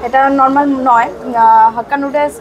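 A woman's voice: high voice, steady tone, moderate pace, lo-fi sound. A woman food vlogger, chatting casually and enthusiastically about dishes in a restaurant, repeating her praise. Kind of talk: casual food review chat. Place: busy restaurant. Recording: crowded restaurant noise. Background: crowd chatter.

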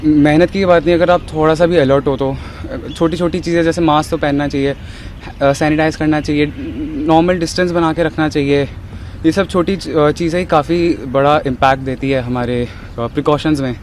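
A young male athlete interviewed outdoors, pausing often with filler sounds as he answers. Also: a little high, steady tone, a little hiss or hum. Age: young adult. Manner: hesitant, many filler sounds. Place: outdoor stadium grounds. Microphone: handheld reporter mic.

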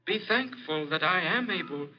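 An actor playing an unseen spirit who gives eerie, insistent commands with drawn-out solemnity in 1940s horror radio style. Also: male voice, on the high side, animated, slight background noise, lightly acted. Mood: commanding, urgent. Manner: slow, drawn-out, solemn urging. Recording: dull vintage 1940s radio recording.

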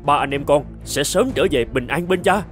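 A man as a brave, high-pitched young prince. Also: animated, normal speed, fairly bright tone, faint music behind. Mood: confident. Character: brave, earnest young prince, one of three brothers. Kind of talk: Vietnamese fairy-tale cartoon dubbing.

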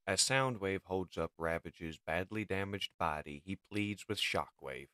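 A man's voice: low-pitched, measured pace, background noise. A man giving dramatic scripted narration as the storyteller of a comic book reading.

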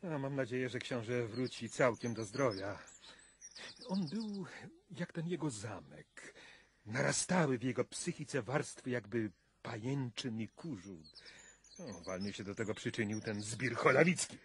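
A man acting in a 1990s Polish radio drama as an educated, cautious professor, earnest and expressive in his literary speech. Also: medium pitch, measured pace, slight background noise. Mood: reflective.

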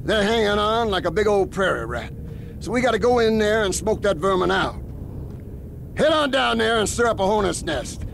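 A ruthless, folksy tycoon from a crime game with a drawl. The voice is high and gravelly, menacing in a homespun way, with faint noise behind it. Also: male voice, big pitch swings, moderate pace, fairly bright tone, full character voice. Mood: scheming. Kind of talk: video game cutscene acting.

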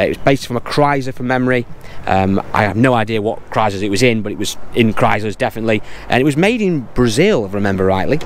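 Chatty British man driving a car. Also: on the low side. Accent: British English. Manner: chatty, rambling, hedges with "from memory". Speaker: car dealer vlogger. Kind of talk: casual car review. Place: inside a small car, driving. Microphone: camera on dashboard. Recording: car interior with clear road noise. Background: road and engine noise.

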